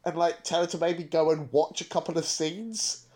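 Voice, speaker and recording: A man's voice: a little high, moderate pace, a little mellow. A man co-hosting a casual podcast discussion, giving opinions with frequent stammering restarts. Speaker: podcast co-host.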